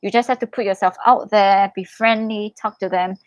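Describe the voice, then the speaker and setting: A young adult woman hosting a casual live Q&A, reading out questions and giving chatty, expressive, reassuring advice. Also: medium pitch, normal speed, no room sound, dull audio. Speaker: livestream host, international student in Korea.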